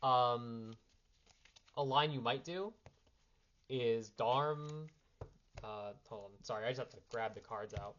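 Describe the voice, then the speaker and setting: A man's voice: mid-range voice, slow, long pauses, a bit bright, dull audio. Slightly sick young man explaining card plays. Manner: rambling, self-correcting, handling cards while talking. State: a little sick. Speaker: card game player giving a strategy primer. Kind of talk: impromptu casual strategy explanation.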